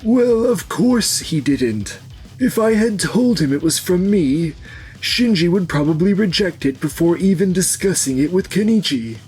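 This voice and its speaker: A man's voice: high voice, expressive, measured pace, darkish tone, slightly rough, soft background music, heavily acted. A man voicing a stern, authoritative elderly grandfather in a manga dub, lecturing his family. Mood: explaining, matter-of-fact. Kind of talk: anime-style manga dub acting.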